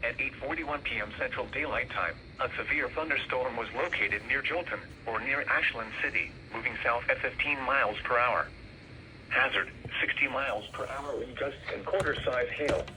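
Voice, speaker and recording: A synthetic male announcer voice at medium pitch comes through a weather radio with clear background noise. It delivers formal severe weather warnings in a measured, impersonal manner. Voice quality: synthetic text-to-speech voice. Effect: weather radio broadcast. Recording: noisy weather radio picked up in room.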